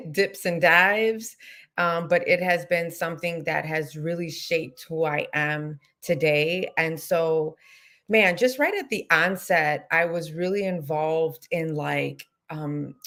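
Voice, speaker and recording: A woman educator in her thirties, a guest on an interview, telling her story in a casual, reflective way with many fillers. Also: lowish voice.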